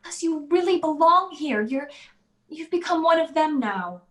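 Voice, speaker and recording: A woman's voice: high-pitched, animated, unhurried, a bit bright. An actress playing a timid, naive young servant woman in a radio drama, speaking with fearful, innocent earnestness. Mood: earnest, resolute. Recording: roomy, echoing voice track.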